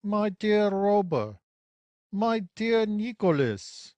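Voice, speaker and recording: A man's voice: high voice, sing-song, takes it slowly, darkish tone, no echo, full character voice. An actor playing an effusively courteous young foreign baron in his thirties, with a thick guttural voice, in a satirical audio play. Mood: effusive greeting. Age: 30s. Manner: gushing, formal greetings.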